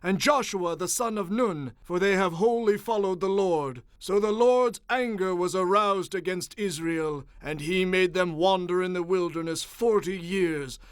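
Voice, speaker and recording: A man playing a stern leader rebuking his people with solemn, measured oratory in a dramatized audio Bible. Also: high-pitched, clear, resonant tone, no room sound, studio quality.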